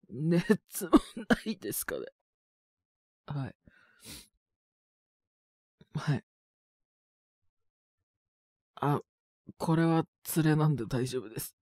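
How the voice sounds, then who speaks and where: A man acts out a young male student down with a cold in an audio drama. His voice is husky and breaks into coughs, with big swings in pitch. He talks slowly and casually, polite but mildly exasperated, in trailing phrases. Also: pushed and bright, no echo, clean studio sound, full character voice. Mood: subdued, polite. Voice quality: husky, with frequent coughing fits. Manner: casual youthful speech, trailing hesitant phrases. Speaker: voice actor. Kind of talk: one-sided situation voice drama.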